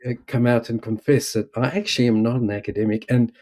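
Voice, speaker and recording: Halting man with South African accent. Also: mid-range voice, fairly even intonation, a little mellow. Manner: halting, stammers and restarts, self-deprecating. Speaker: guest, missiologist. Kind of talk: conversational interview.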